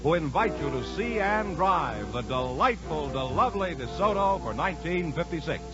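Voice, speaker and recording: A man's voice: fairly high pitch, animated, moderate pace. A man announcing grandly in the style of a 1950s radio advertisement. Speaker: commercial and show announcer. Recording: muffled 1950s radio with loud music.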